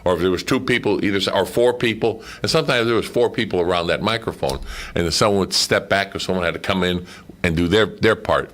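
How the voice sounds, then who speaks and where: Male radio guest, low-pitched, mature, rambling storyteller in relaxed interview chat. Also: fast. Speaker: guest, former radio drama voice actor. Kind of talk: casual reminiscing in a radio interview.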